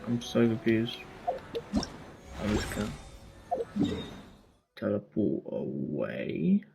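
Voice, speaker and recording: A man's voice: lowish voice, huge pitch swings, very slow, creaky. A man reacting casually on a live game stream, exclaiming and muttering asides. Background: game music.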